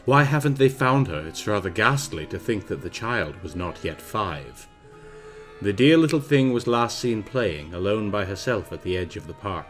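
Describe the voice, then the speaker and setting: Low male voice, theatrical, precise, mock-grave, narrating rhyming gothic poetry, soft music behind. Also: animated, moderate pace. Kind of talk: dramatic reading of gothic rhyming verse. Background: orchestral and jazz film soundtrack music.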